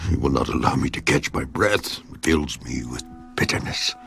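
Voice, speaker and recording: Job, anguished and gravelly. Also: male voice, normal speed, heavily acted. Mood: lamenting. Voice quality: deep gravelly voice. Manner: anguished, swinging from hushed to crying out. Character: suffering righteous man pleading with God. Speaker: voice actor. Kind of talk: dramatized scripture reading. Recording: with music underneath.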